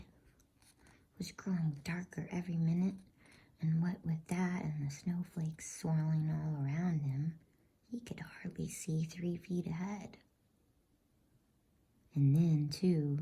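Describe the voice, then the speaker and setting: Very slow, breathy whispering woman. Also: deep voice, fairly even intonation. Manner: soft, slow, unhurried, soothing delivery. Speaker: bedtime story reader. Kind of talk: whispered ASMR storybook narration.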